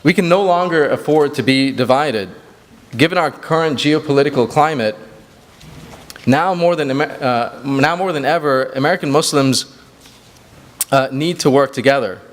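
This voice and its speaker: A man's voice: fairly high pitch, moderate pace, in a large hall. A man who grew up in Sri Lanka giving an earnest banquet speech as executive director, with frequent fillers and restarts. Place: large banquet hall.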